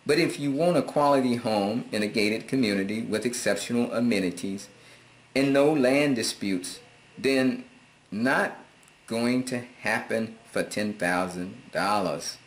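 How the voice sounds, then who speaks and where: A man's voice: mid-range voice, measured pace. A middle-aged American man reading viewer comments aloud and answering them in a laid-back, amused and sarcastic way. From United States. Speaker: vlogger.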